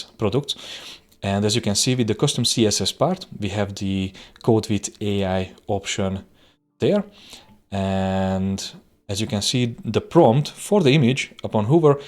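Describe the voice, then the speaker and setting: Low-voiced man. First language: Hungarian. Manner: relaxed, hesitant, frequent "uh" fillers and restarts. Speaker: web design instructor. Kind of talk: screen-recording software tutorial.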